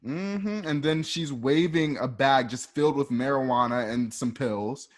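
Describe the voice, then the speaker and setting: A man with a medium-pitched voice serves as narrator for a radio drama. He reads out stage directions informally, walking the listener through each scene as a conversational storyteller. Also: unhurried.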